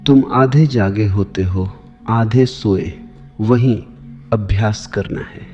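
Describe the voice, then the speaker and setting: A man narrating a guided sleep meditation as spiritual discourse, giving soothing, deliberate instructions in short phrases with pauses. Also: fairly low pitch, mellow. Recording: long reverb, slightly dull, music behind.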